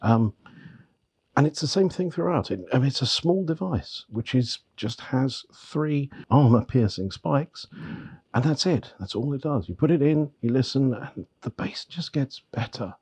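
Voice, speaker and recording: Middle-aged male reviewer, throat wrecked by a cold, deep gravelly rasp, muffled dark tone, rambling delivery. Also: expressive, a little slow. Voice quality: gravelly, bass-heavy voice. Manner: rambling, with frequent restarts and repeated words. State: hoarse from a heavy cold. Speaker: magazine editor reviewing audio gear. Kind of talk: product review talk to camera.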